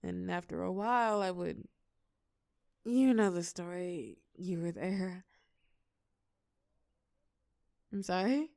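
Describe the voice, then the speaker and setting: A woman's voice: lowish voice, unhurried, gravelly, darkish tone, no room sound, studio quality. A woman playing a shy, sweet, geeky young woman in an intimate romantic audio roleplay, speaking hesitantly and earnestly. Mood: shy, vulnerable.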